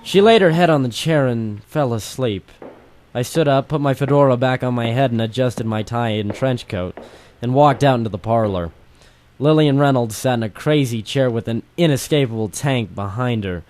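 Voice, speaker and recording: A man's voice: mid-range voice, normal speed, darkish tone, faint music behind, natural voice. A man playing a hardboiled private eye, narrating dramatically and wryly in the style of an old-time noir radio drama. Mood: wry, watchful. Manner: wry, dramatic first-person storytelling. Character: hardboiled male private eye narrating his own case.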